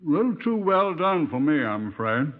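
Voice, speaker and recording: A man playing a wry, unhurried police superintendent in a 1950s radio drama, dry, droll and deliberate. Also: fairly high pitch, very animated. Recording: tinny, narrow 1950s radio recording.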